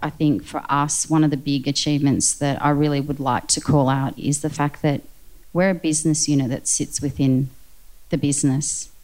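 Low-voiced woman, earnest panelist. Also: fairly even intonation, moderate pace, darkish tone, low-quality recording. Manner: earnest, repeats "actually". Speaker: panel guest. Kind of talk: panel discussion.

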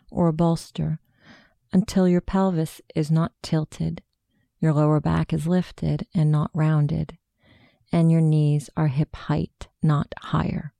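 Female meditation guide, low-pitched and unhurried, with a very soft dark tone and gravelly creak. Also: no echo. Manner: slow, hushed, soothing instruction.

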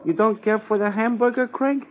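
A man playing a high-pitched wisecracking diner counterman. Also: normal speed, lightly acted. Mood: needling. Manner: streetwise, slangy, grumbling. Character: gruff, wisecracking male lunch-wagon counterman. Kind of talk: 1950s radio drama acting. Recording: dull, noisy vintage radio recording.

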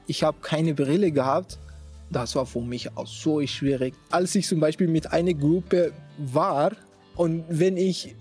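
Young guy from afghanistan, talks real slow and halting, soft music under him. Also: a little high, breathy edge. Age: young adult. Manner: slow, searching for words, halting restarts. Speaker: interview guest. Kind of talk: personal storytelling.

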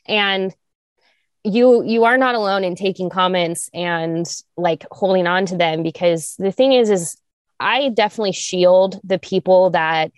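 A woman co-hosting a podcast, talking earnestly and emphatically, with some vocal fry. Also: lowish voice, fairly even intonation, dry recording, studio quality. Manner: earnest, emphatic, speeds up and slows down. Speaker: podcast co-host. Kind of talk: candid podcast conversation.

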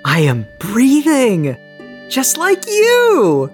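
A man's voice: very high voice, wildly swooping pitch, extremely slow, faint music behind, full character voice. A man playing a childlike alien posing as a human, cheerfully literal and stiffly eager, in a dramatised fan fiction reading.

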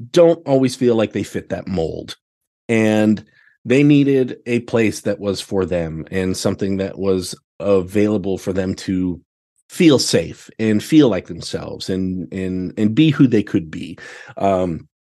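A man's voice: lowish voice, darkish tone. An American man talking casually as a podcast guest, often circling back over words as he searches for the next one. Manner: halting, searching delivery with repeated words. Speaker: podcast guest, theatre director. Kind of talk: casual interview conversation.

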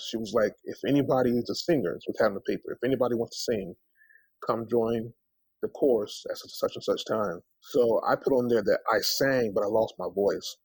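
A man's voice: medium pitch, breathy edge, no room sound, rough recording. A man being interviewed as a singer, telling anecdotes casually and acting out the dialogue with plenty of conversational asides. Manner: animated anecdotes with reenacted dialogue, peppered with "you know" and "man".

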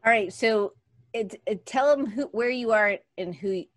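A woman's voice: mid-range voice, unhurried, dry recording, clean studio sound. A woman hosting a group video call, playful and joking as she hands the turn to each guest. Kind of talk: casual chat.

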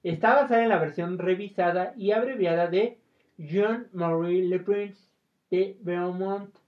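A woman with a fairly low voice and a Mexican accent hosts a casual podcast. She tells stories in an informal, playful way. The audio is dull and lo-fi. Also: measured pace. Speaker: podcast host. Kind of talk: casual chat retelling film trivia and tales.

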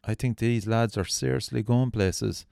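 A man's voice: medium pitch, fairly even intonation, a little slow, creaky, a little mellow, no room sound, studio quality. A man hosting a music podcast, talking with enthusiastic, effusive praise. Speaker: podcast host. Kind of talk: music podcast talk and interview.

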